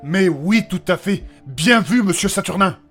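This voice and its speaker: An excitable fantasy adventurer played by a man with a high voice that swings widely. He sounds theatrical and skeptical, in a comic audio drama with clear background music. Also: measured pace. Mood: explanatory. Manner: exclamatory, theatrical. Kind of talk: French comedic fantasy audio drama acting.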